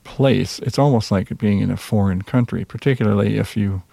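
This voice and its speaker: A man with a Minnesota accent, a jazz musician answering interview questions in a reserved, hesitant way with many pauses. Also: lowish voice, creaky, dark tone, dry recording. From Minnesota, USA.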